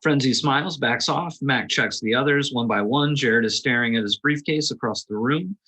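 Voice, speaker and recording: A man's voice: mid-range voice, moderate pace, pushed and bright, husky. A man reading scripted stage directions aloud as narrator at a table read.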